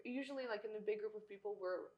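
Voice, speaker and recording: A young woman whose first language is Russian, co-hosting a casual chat and telling animated stories. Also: medium pitch, fast talker, lo-fi sound, a crowd in the background. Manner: animated storyteller, acts out quotes with laughter. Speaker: podcast co-host, former cheerleader.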